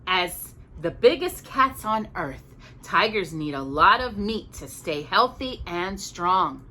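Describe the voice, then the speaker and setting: A woman hosting a storytime, reading a picture book aloud in an expressive, chatty way with casual asides. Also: on the low side, slow pace. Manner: expressive, chatty, stumbles and corrects herself.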